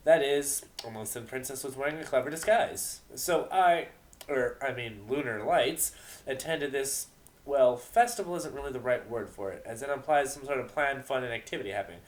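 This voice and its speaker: A man's voice: medium pitch, normal speed, natural voice. An ancient moon goddess mare played by a man tells her tale straight to the listener. She is grand and theatrical, yet easily flustered and chatty, correcting herself as she goes in storybook fashion. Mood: eager, chatty. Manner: theatrical, self-correcting, addresses the listener directly. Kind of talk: dramatic storytelling reading.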